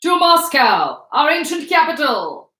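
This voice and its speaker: A woman voicing a young woman who reads a solemn manifesto aloud in a painstaking, high-pitched voice, in a roomy space. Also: big pitch swings, pushed and bright, heavily acted. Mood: solemn declaiming. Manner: slow, painstaking declaiming. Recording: roomy, echoing room.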